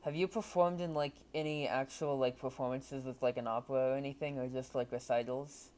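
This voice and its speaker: A man's voice: medium pitch, steady tone, fairly quick, a little mellow, clean tone. A man hosting an interview, asking casual questions with a few fillers. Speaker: host, interviewer.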